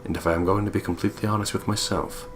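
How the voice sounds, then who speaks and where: A man reading visual novel text aloud as a deliberate, storyteller-like narrator. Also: fairly low pitch, fairly even intonation. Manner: deliberate, storyteller-like, playful putting on of voices. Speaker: gaming video co-player reading the text aloud. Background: game music.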